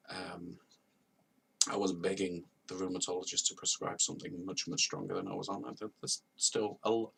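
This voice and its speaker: A man's voice: sits low, creaky, fairly bright tone, husky, far from the mic, rough recording. A man in his thirties telling his own story to camera in an earnest, confessional way, repeating phrases for emphasis. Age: 30s. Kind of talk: personal testimony to camera. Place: at home, on a video call setup.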